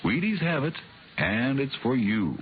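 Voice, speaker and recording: A man with an American accent pitches a product on vintage radio. His delivery is folksy and extremely animated, leaning on key words, over a thin recording with faint noise. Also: unhurried. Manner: folksy, emphatic persuasion. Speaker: commercial announcer. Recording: tinny old radio recording, faint hiss.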